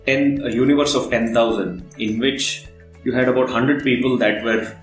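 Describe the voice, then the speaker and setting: A man interviewing conversationally, thinking aloud and rephrasing at length in a halting way. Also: medium pitch. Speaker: podcast interviewer. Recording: roomy echo, faint background music.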